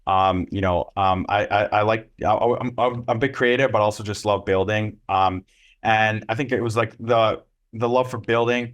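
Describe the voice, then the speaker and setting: A young man from Israel, answering interview questions casually and loosely, with many ums and restarts. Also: on the low side. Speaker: agency co-founder and CEO.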